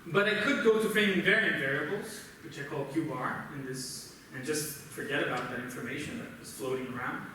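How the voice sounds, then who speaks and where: A man with a Brazilian accent, a native Portuguese speaker, gives a technical conference lecture in a halting, explanatory way. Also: medium pitch. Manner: halting, explanatory, often checks with "right?". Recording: roomy, noisy conference hall, distant mic.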